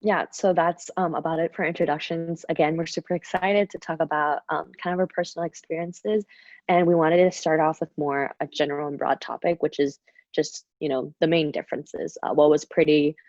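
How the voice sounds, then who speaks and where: A woman's voice: low voice, fairly even intonation, fairly quick, a little mellow, no echo. A young woman from Shanghai hosting a student webinar in a chatty, casual way, with plenty of fillers. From Shanghai, China. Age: young adult. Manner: chatty, many fillers and "kind of". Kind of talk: casual presentation. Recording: dull, dry video call audio.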